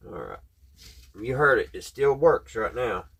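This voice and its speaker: A man with a Southern US accent and a slightly husky voice, chatting casually in a folksy way as he talks through a hands-on repair, thinking aloud. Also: mid-range voice, big pitch swings, a little slow, a bit bright. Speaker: host of a radio repair video. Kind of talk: casual hands-on tutorial chat. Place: at a workbench.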